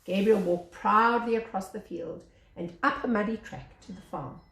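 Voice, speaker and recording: A woman reading a children's story aloud as a teacher, with expressive narration. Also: medium pitch, moderate pace, gravelly. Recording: echoey room.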